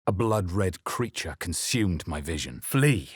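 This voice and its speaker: A man playing a wary, grave fantasy adventurer in a video game, with deliberate, theatrical delivery. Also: sits low, big pitch swings, slightly rough, no room sound, studio quality, heavily acted. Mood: grave, dramatic. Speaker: video game player-character voice actor. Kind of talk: dramatic scripted game narration and voice lines.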